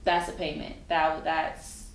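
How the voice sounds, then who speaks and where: A woman's voice: deep voice, completely flat delivery, slow. A woman interviewer chatting informally and affirmingly in a casual conversation. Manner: informal, frequently affirming the other speaker. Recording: echoey room, faint background noise.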